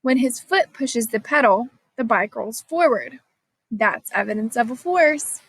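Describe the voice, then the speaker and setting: Female reader as eager schoolboy in a children's storybook, high-ish voice, explaining. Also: animated, unhurried, breathy edge, dry recording, full character voice, low-quality recording. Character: Lee, keen schoolboy hunting for evidence.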